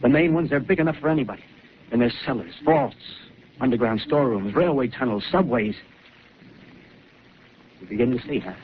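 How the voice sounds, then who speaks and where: An actor playing a rough, scheming soldier who talks in rambling, feverish working-class slang, in a 1930s live radio drama over a tinny broadcast with hiss. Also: male voice, mid-range voice, expressive, fairly quick, pauses often, close to an everyday voice.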